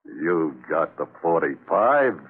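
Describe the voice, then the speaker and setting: A man playing a cocky, scheming sailor in a 1940s radio drama, with an American accent, a husky voice and breezy, slangy talk. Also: a bass voice, big pitch swings, slow, full character voice. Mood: brash, persuasive. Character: cocky, scheming male sailor angling for first mate. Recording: old 1940s radio recording, narrow band.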